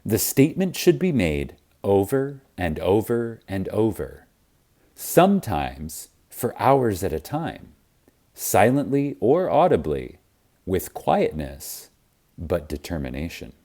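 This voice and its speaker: A man with a low, deep voice narrates a spiritual teaching audiobook. He reads very slowly and reverently, with deliberate pauses. His pitch moves widely as he stresses key words for emphasis. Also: wildly swooping pitch, mellow.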